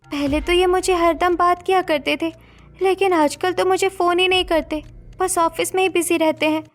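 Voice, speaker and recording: A woman's voice: very high voice, fairly even intonation, moderate pace, soft background music. A woman voicing a naive, stubborn, sulky teenage bride in a cartoon story, with a whiny, pleading manner. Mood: wistful. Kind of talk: Hindi cartoon story voice acting.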